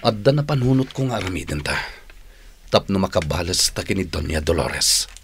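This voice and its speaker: A hot-headed young man out for revenge in a radio drama. His voice is low, with faint noise and clicks behind it. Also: lively intonation, a little fast. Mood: vengeful, scheming. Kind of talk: radio drama acting in Ilocano.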